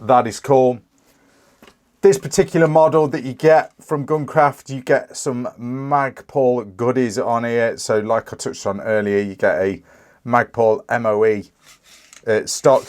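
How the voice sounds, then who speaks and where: A British man in his thirties, a little croaky from a cold, casually reviewing a rifle with many fillers and restarts. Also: medium pitch. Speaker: firearms reviewer.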